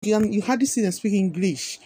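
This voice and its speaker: A man's voice: high voice, brisk, dark tone, slightly rough, no room sound. A man with a Nigerian accent giving earnest opinionated commentary, repeating himself with many fillers. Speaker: video commentator.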